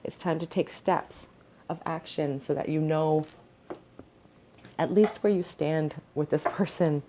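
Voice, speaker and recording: A woman's voice: low-pitched, fairly even intonation, measured pace. A woman with a crackly voice giving an earnest pick-a-card tarot reading at home, advising with frequent ums and restarts. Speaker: tarot reader.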